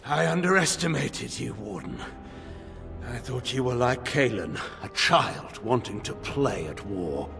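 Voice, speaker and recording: An actor playing a proud, bitter middle-aged general in a game cutscene, speaking with grandiose, commanding oratory. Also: male voice, mid-range voice, expressive, unhurried, vocal fry. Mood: subdued, respectful. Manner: oratorical, forceful, grandiose delivery. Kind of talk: dramatic game cutscene acting. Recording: long echoing hall, faint music behind.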